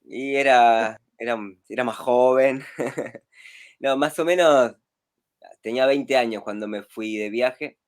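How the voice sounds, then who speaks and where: A man's voice: mid-range voice, slow, smooth. An adult man from Rosario, a street clown talking casually as a guest over a video call, chuckling often. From Rosario, Argentina. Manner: relaxed, chuckles often, rambling.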